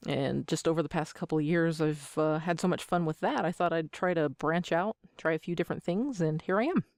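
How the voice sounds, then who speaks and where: A woman's voice: low voice, a little fast, darkish tone, dry recording, studio quality. A woman in her thirties answering interview questions as an amateur voice actor, with a joking, self-deprecating manner. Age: 30s.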